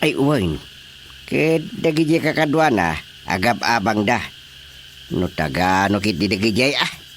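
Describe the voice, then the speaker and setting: A man's voice: lowish voice, animated, a little slow, long pauses, fairly bright tone, slight background noise. A man playing a chatty, practical village official in a radio drama comedy, talking emphatically. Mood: conversational.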